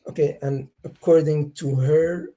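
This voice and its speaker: A man calling into a live debate, halting and hesitant, stumbling over restarts while defending his view. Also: on the high side, steady tone, warm tone. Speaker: caller.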